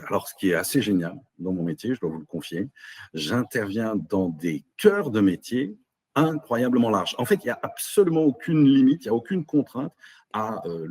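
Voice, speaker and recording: A man's voice: lowish voice, a little mellow, husky. A Belgian-born man who works as an AI trainer, giving a webinar presentation over a video call with a lot of hesitations and restarts. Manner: hesitant, many fillers and restarts.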